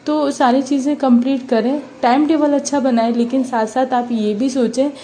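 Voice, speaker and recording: A woman's voice: high-pitched, fairly even intonation, normal speed, dark tone, slight background noise. A young woman vlogging about her study routine, earnest and encouraging, with a sore throat from a cold. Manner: earnest, encouraging, mixes English words into Hindi. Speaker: study vlogger, mother preparing for police exam. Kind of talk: casual motivational vlog talk.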